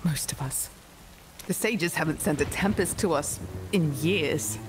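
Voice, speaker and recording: A lowish, slow voice, a hardened middle-aged village aunt in a fantasy game. She is wary, blunt and sardonic, with music playing behind her. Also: low-quality recording. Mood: wry. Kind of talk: video game voice acting.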